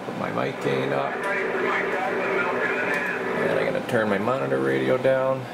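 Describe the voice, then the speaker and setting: A man working as a repair technician gives a casual hands-on walkthrough, halting and thinking aloud as he goes. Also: mid-range voice, big pitch swings, creaky, heavy background noise. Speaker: radio repair technician presenting his work. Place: indoor electronics workbench.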